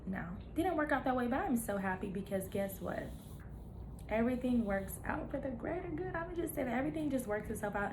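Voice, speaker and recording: A woman in her thirties talks straight to her viewers in an easy, chatty way, cheering them on with playful asides and laughs between thoughts. Her voice sits in a middle register. A faint hum from the room is behind her. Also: mid-range voice. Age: 30s. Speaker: nurse practitioner vlogger. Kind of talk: casual chat to camera.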